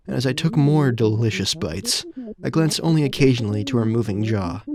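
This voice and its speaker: A man acting as an uneasy protagonist who narrates a surreal audio drama in deliberate, literary, descriptive phrases. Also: mid-range voice, lightly acted. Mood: measured, descriptive. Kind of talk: scripted first-person audio drama narration.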